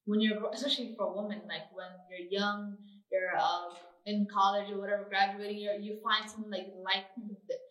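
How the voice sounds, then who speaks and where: A woman's voice: mid-range voice, flat delivery, brisk, a bit bright, far from the mic. A young woman whose first language is Somali, chatting casually and animatedly with slang and lots of "like". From Somali family. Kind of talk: casual chat. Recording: echoey room, voice a bit off-mic.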